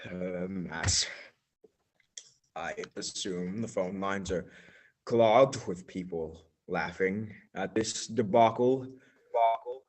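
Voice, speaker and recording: A man's voice: low voice, slow. A young American man playing a melodramatic, self-important radio playwright in a theatrical radio play style. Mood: deflated.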